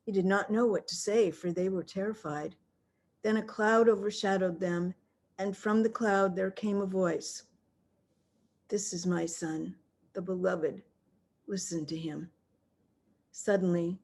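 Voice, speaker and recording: A woman's voice: fairly low pitch, darkish tone. Woman reading scripture expressively. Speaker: scripture reader. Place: at home, on a video call. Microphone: video call.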